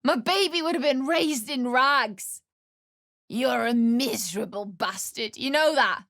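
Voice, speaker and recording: A woman's voice: high voice, unhurried, fairly bright tone, dry recording, studio quality. A young woman voicing a desperate, bitter, grieving widow in a fantasy video game, emotional and blunt. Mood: bitter, defensive. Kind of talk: video game character dialogue.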